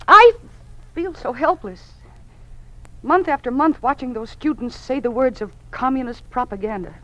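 An actress playing an earnest, troubled young schoolteacher in an old-time radio drama, with careful, precise diction. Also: female voice, high-pitched, normal speed, a bit bright. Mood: troubled, indignant. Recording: muffled old radio recording.

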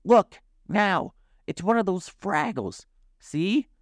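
A man voicing an excitable, anxious big furry dog in a storybook, with barking, urgent exclamations. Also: mid-range voice, slow, vocal fry, no room sound, clean studio sound, pitched above the performer's own voice, full character voice. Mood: excited, urgent.

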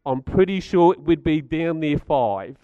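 A middle-aged man with a croaky voice, giving a chatty talk to a live audience and asking them questions. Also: on the high side, animated, unhurried, no echo, narrow, tinny audio. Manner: chatty, stats-driven, asks the audience questions. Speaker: speaker at a community gathering.

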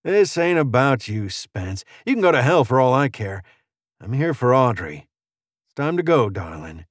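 Cold, rasping gunslinger returned from the grave for revenge, folksy frontier drawl, close dry storybook sound man performing. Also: mid-range voice, big pitch swings, moderate pace, dry recording. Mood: cold, resolute. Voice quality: raspy. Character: rasping cold vengeful gunslinger back from the dead.

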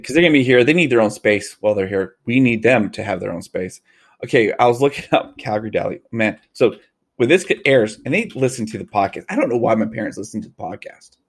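A man's voice: on the low side, lively intonation, quick pace, slightly rough. A man in his forties co-hosting a podcast, chatting casually, rambling and correcting himself, laughing easily. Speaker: podcast co-host.

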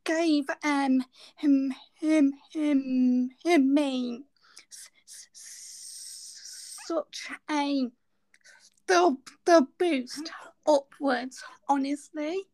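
Brief: female guest, severe stammer, very slow, high and creaky, dry sound. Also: a little mellow, no echo, low-quality recording. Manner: severe stammer with long blocks and repeated sounds. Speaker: podcast guest. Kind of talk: casual interview answers.

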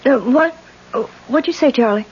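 A woman's voice: high-pitched, moderate pace, lightly acted. An actress playing a prim, anxious boarding house landlady, proper and hesitant, in a 1970s radio drama. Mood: distracted. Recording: dull, noisy old radio broadcast.

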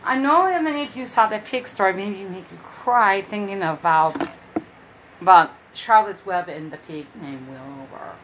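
A woman's voice: mid-range voice, lively intonation, normal speed, fairly bright tone, slight background noise, poor audio. A woman in her early fifties hosting a casual solo podcast from her room at home, rambling and often repeating herself. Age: 50s. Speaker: podcast host.

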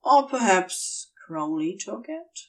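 A woman narrating fiction in a gentle, measured, expressive storytelling style. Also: mid-range voice, far from the mic. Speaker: fan fiction audiobook reader.

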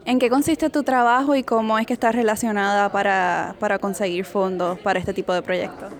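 A woman's voice: mid-range voice, steady tone, brisk. A woman, interviewing a guest with short, friendly questions.

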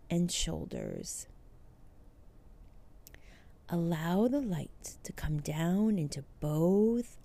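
A woman leading a guided meditation as host, speaking soothingly, with phrases that often trail into a breathy near-whisper. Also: on the low side, warm tone.

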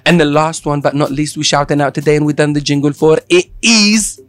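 A man's voice: fairly high pitch, fairly even intonation, a little fast. Joking male host, Italian accent. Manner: playful, joking, jumps between topics. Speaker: show host. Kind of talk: lively daily show chat.

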